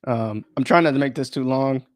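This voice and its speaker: A man's voice: mid-range voice, fairly quick, darkish tone, no room sound. A man chatting informally and thinking aloud as he runs an unplanned live stream, often interrupting himself in a rambling way.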